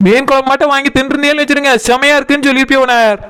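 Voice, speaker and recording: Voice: sits high, fairly even intonation, rapid-fire, sharp tone. A performer voicing an eager, pushy young food-stall seller in a cartoon comedy, coaxing and nagging in Kanyakumari Tamil. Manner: coaxing, insistent.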